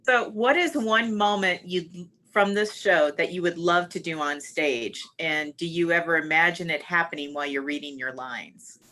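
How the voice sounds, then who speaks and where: A woman's voice: fairly low pitch, normal speed, bright, forceful tone, slight background noise. A woman moderating a casual Q&A with a cast. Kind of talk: casual interview.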